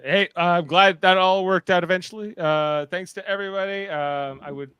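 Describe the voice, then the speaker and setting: Higher-pitched man hosting casual banter. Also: fairly even intonation, moderate pace, a bit bright, smooth, dry recording. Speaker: podcast host.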